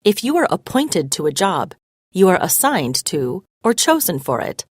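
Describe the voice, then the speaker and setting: A woman narrating a scripted story as a read-aloud, expressive and clearly phrased. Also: lowish voice, normal speed, no echo, studio quality.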